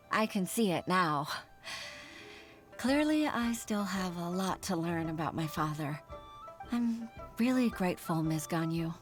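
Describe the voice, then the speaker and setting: An actress voicing an earnest young clerk in a game who speaks politely and gratefully. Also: female voice, on the low side, animated, slow pace, soft background music.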